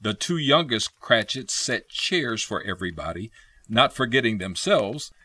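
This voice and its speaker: A man's voice: fairly low pitch, lively intonation, measured pace, pushed and bright. A man narrating a radio play in a scripted storybook style. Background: music.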